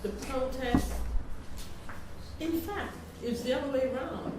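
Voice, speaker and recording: A woman giving a reflective account as a community member, in measured and rather formal wording. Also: high-pitched. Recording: very echoey, distant, noisy room.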